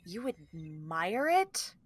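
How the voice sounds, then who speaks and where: A woman's voice: on the low side, big pitch swings, drawn-out words, slightly rough, heavily acted. A woman reading the part of a bitter, fearful crew member in a script table read, dry and gloomy.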